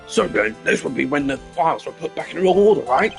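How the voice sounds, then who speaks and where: A man voicing a loyal, eager, slow-witted male police detective in a game, with an excitable, puzzled, blunt manner. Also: on the high side, gravelly. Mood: eager, questioning. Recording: music behind the voice.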